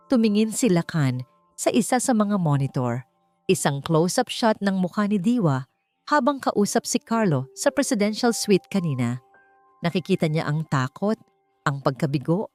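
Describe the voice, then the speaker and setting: Low-voiced Filipina narrator over music. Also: female voice, a little mellow. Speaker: audiobook narrator. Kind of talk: scripted dramatic story narration. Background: background music.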